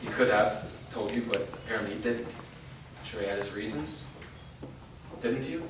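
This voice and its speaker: A man playing a wounded, resolute part-vampire fighter in a staged reading, speaking in a serious, measured, explanatory way. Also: fairly low pitch, natural voice. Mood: guarded, serious. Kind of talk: staged reading of a fantasy drama. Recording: echoey room, distant mic, faint noise.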